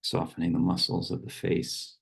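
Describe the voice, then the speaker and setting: A man guides a meditation in a low voice heavy with vocal fry. His delivery is slow and unhurried, with short phrases set apart by pauses. The tone is husky and grainy, suited to reading verse as part of the practice. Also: completely flat delivery, a bit bright. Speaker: meditation teacher.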